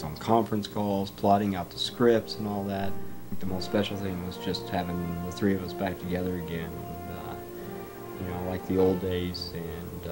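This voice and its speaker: Middle-aged male interviewee, low and monotone, casual hesitant reminiscing with music clearly behind. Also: rough recording. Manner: hesitant, conversational, many you-knows. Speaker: audio drama team member.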